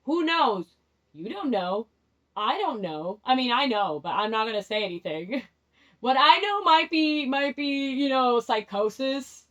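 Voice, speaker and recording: A woman's voice: high voice, very animated, measured pace, a bit bright. Young woman rambling candidly, lo-fi sound. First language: Spanish. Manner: rambling and candid, restarts often, laughs at herself. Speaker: tarot vlogger. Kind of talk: rambling self-reflective tarot reading. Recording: dull lo-fi sound.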